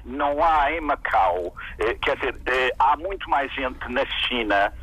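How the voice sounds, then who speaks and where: An older man calling into a live radio debate, halting and full of fillers and restarts. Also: medium pitch, lively intonation, a bit bright, slightly rough, rough recording.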